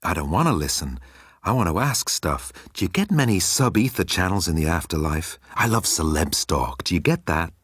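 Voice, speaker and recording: A man's voice: low voice, big pitch swings, normal speed, fairly bright tone, breathy edge, dry recording, clean studio sound, heavily acted. A man voicing a flippant, self-absorbed galactic president with a breezy, chatty manner in an audiobook. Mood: dismissive, chatty.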